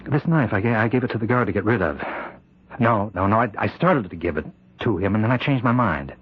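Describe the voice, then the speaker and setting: An actor playing a tormented, frightened prison warden in an old-time radio drama, speaking haltingly and repeating himself in anguish. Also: male voice, on the low side, animated, slightly rough. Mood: desperate, warning. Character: tormented prison warden husband, fearful he is possessed. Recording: muffled old radio recording.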